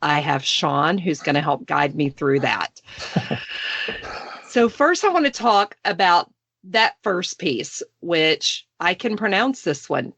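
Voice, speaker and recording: A woman's voice: on the low side, sing-song, slow pace, a bit bright, dry recording. A woman in her late forties from Texas with a Texan accent, hosting a podcast in a chatty, playful way. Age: 40s. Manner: chatty, playful, self-deprecating. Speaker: podcast host. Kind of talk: casual podcast chat.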